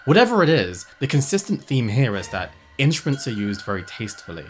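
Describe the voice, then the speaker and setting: Animated video essay narrator, music underneath. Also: medium pitch, a little fast. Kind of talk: scripted video essay narration with casual asides.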